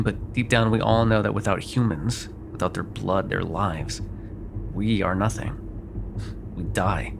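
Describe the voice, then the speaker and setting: A man's voice: fairly low pitch, gravelly. Man acting a sardonic vampire over noisy car ambience. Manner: hesitant, wry, sweary, conversational, with sighs. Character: sardonic, grudgingly apologetic male vampire on a road trip. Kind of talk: immersive ASMR roleplay acting, one side of a dialogue.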